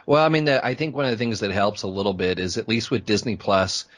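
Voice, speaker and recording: A man's voice: fairly low pitch, lively intonation, fast talker, fairly bright tone. A man speaking as an interview guest in casual conversation, easygoing and agreeable. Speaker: interview guest, podcaster and writer.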